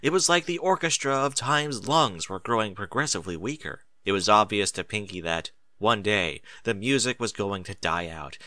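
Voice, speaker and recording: A man reading a scripted story as a narrator, in an expressive and deliberate dramatic style. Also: on the low side, no echo. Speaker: narrator of fan fiction audiobook.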